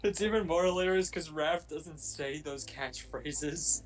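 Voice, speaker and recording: A man's voice: fairly high pitch, measured pace. A man chatting casually and jokingly as he co-hosts a film commentary.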